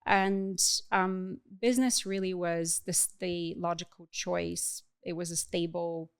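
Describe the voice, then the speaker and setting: A middle-aged woman from Bulgaria, raised abroad, speaking thoughtfully and in a measured way as an interview guest. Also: fairly low pitch, fairly even intonation, clear, resonant tone, dry recording, clean studio sound. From Bulgaria, raised in Iraq and Cuba. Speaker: podcast guest, agency chief executive. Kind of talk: reflective interview conversation.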